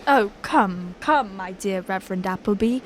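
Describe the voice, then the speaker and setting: A woman acting a loyal gentleman sidekick in an amateur audio drama, polite, proper and earnest. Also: mid-range voice, unhurried, a little hiss or hum, natural voice.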